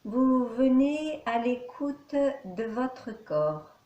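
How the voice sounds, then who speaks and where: A woman teaching yoga nidra, guiding a meditation calmly in short, measured phrases with pauses between them. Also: a little high, muffled recording. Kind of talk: guided meditation, calm instruction. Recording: echoey, reverberant room.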